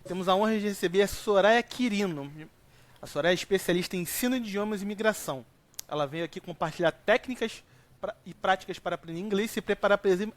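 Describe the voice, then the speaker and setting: Male podcast host, Brazilian accent, notably high voice, conversational presenting style. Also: no echo. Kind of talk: podcast introduction and interviewing.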